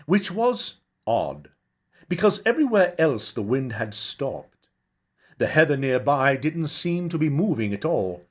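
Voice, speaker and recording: Expressive man narrating a story. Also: mid-range voice, a little slow. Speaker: actor reading a novel chapter. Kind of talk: dramatic scripted audiobook narration.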